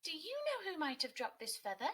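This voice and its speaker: A woman voicing a curious, excitable female kingfisher in a children's storybook, with eager, exclaiming questions. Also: sits high, fairly quick, voice pushed up.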